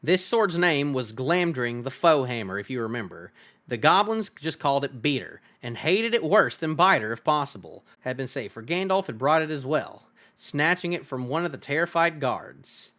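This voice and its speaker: A man's voice: mid-range voice, expressive, moderate pace, fairly bright tone. A man reading a fantasy novel aloud as a streamer, in dramatic storybook narration.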